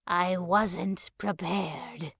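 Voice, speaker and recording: A woman's voice: fairly low pitch, fairly even intonation, drawn-out words, gravelly, a bit bright, husky, no room sound, studio quality. A woman reading scripted first-person fiction as a storyteller. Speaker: narrator.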